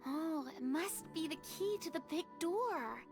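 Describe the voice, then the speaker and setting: A woman's voice: extremely high pitch, expressive, measured pace, music in the background, heavily acted. A woman playing a sweet, observant young adventurer in a video game. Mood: excited.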